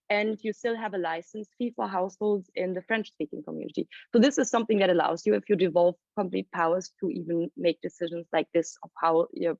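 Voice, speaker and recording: A woman's voice: on the low side, a little mellow, no echo. Woman explaining research, dry dull sound. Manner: explanatory, thinking aloud with pauses. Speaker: report author presenting research. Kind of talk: panel presentation at a report launch.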